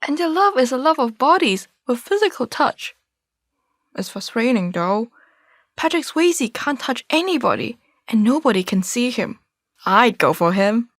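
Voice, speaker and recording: A romantic young woman played by an actress speaks in a high voice with very animated intonation. It is expressive audio drama dialogue in a dry recording. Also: measured pace, dry recording. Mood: dreamy, emphatic. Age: young adult.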